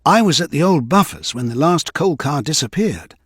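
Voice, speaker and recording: A man's voice: fairly high pitch, lively intonation, normal speed, a bit bright, slightly rough, no echo, clean studio sound. A man voicing a friendly, earnest male tank engine in a children's storybook.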